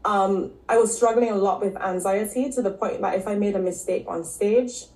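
Woman, from Barbados, health coach, podcast guest, casual storytelling, mid pitch, roomy echo. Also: normal speed, a little mellow. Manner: storytelling, many ums and likes. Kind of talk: casual interview talk. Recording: echoey room.

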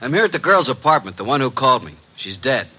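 A brisk young detective's assistant from a vintage radio mystery. His voice is mid-pitched and forceful. He talks plainly and obligingly, with a wisecracking streak. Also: sing-song, husky, full character voice, rough recording. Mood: urgent. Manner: brisk, plain-spoken, deferential with wry cheek. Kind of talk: 1940s-1950s radio detective acting.

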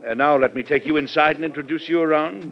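A man's voice: on the high side, quick pace, over loud music, close to an everyday voice. Actor as a dignified fatherly president, muffled. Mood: gracious. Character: fatherly, dignified older male American president. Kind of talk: old-time radio drama acting. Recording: muffled vintage radio recording.

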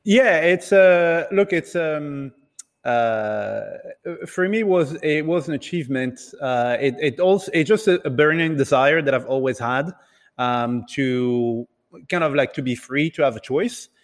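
A man who grew up in Italy and other countries, telling his story casually as an interview guest in a halting, hesitant way. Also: fairly high pitch, lively intonation. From Italy and several other countries. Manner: hesitant, halting delivery with repeated words. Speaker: podcast guest, entrepreneur. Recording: reverberant, hall-like room sound.

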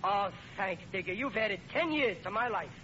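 Blustery, high-voiced hapless dad, old radio. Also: male voice, lively intonation, normal speed. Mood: worried. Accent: American. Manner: blustery, plaintive. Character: excitable, hapless working-class father, pleading and blustering. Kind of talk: 1940s radio comedy acting. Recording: muffled, noisy old radio recording.

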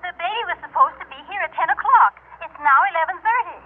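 A woman's voice: very high voice, expressive, fast talker. A woman playing an anxious, earnest agency worker in an old-time radio drama. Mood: stern, alarmed. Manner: fretful, earnest. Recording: noisy, telephone-like vintage radio recording.

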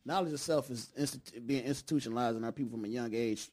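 A man's voice: mid-range voice, quick pace, darkish tone. A man from Detroit, a podcast guest, talking with passion in street slang, making points like a sermon. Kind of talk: casual studio conversation.

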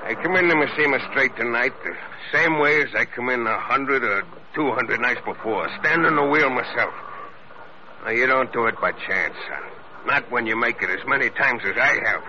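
An actor playing an old, stubborn, drunken sea captain, rambling and halting in salty seafaring talk, in 1940s radio drama style. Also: male voice, medium pitch, natural voice. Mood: earnest pleading. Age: older. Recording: noisy, muffled old radio drama recording.

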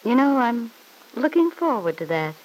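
A woman's voice: on the high side, sing-song, normal speed, a little mellow, poor audio. An actress playing a smug, needling married woman in an old-time radio soap opera, with an arch, mock-sweet, taunting manner. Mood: smug, threatening.

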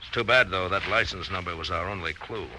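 Crackly, noisy vintage radio audio carries a deep-voiced, troubled middle-aged man with a past, acted by a man. He is an ex-convict businessman, earnest and determined, and he speaks with the deliberate emphasis of old radio drama. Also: close to an everyday voice. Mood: quietly pointed. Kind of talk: 1940s radio drama acting.

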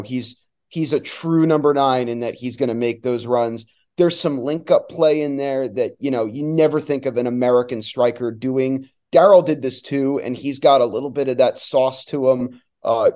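A man's voice: medium pitch, warm tone. A man talking as a sports announcer guest, giving emphatic, opinionated analysis in a conversational way.

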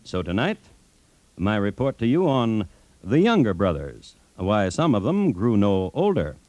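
A macabre American raconteur on a 1950s radio crime series. His voice is lowish and mellow, moving widely in pitch as he narrates with deliberate, dry irony. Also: wildly swooping pitch, dry recording, low-quality recording. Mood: wry, grave. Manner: deliberate, wry, dramatic pauses. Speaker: host and narrator.

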